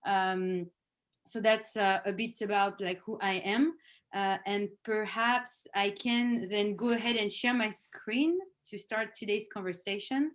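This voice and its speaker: A woman's voice: mid-range voice, steady tone, measured pace. A woman, a head of sales, giving an earnest presentation over a video call. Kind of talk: virtual presentation. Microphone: video call microphone.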